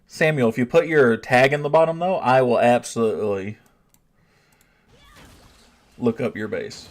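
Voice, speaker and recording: A man, a streamer, chatting casually with his live chat, thinking out loud and often restarting his sentences. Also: mid-range voice, unhurried.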